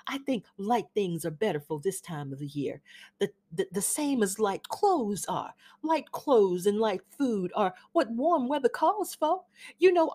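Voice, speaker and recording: A woman doing a fussy Southern matron, fluttering high. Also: darkish tone. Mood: gushing. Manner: gushing, chattering, flutters up and down. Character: older Southern mother, fussy and genteel. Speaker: reader of a classic play. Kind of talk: dramatic reading of a play.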